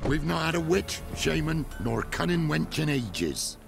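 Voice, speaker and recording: Grumpy british villager, really gravelly n slow, voice swings about, video game. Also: male voice, on the high side, very animated, over music, exaggerated voice. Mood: surly, grudging. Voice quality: creaky, gravelly. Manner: rustic, grudging. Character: grumpy, wary, slow-talking male village peasant.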